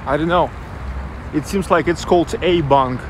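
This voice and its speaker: A man's voice: fairly high pitch, moderate pace. A man in his thirties with a Russian accent, guiding a live walking tour outdoors. He speaks English as a second language, loosely, hunting for words and correcting himself as he goes. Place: busy city street outdoors. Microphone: handheld selfie camera mic. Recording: outdoor street with loud traffic noise.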